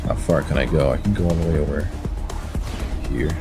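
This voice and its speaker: A man's voice: rumbling low, brisk. An American man with a slight lisp streaming a video game, chatting casually and answering viewers with lots of hesitant fillers. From United States. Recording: game music playing behind.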